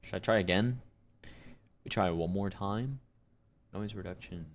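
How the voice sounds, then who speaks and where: Deep-voiced man chatting casually. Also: unhurried. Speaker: voice actor streamer. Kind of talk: casual chat while recording on a livestream.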